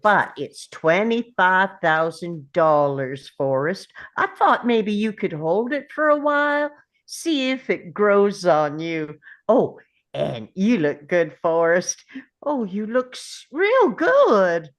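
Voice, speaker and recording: A woman acting a polite, simple Southern character in a table read of a film script, with an unhurried delivery. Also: mid-range voice, big pitch swings. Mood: excited, coaxing. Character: Forrest, polite simple Southern man; later his doting Mama.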